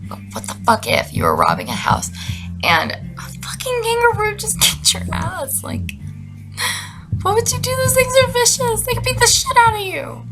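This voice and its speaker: A woman's voice: very high voice, wildly swooping pitch, normal speed, music in the background. A woman giving a casual comedic monologue in a slangy, sarcastic way with plenty of swearing. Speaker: vlogger reading out messages she received.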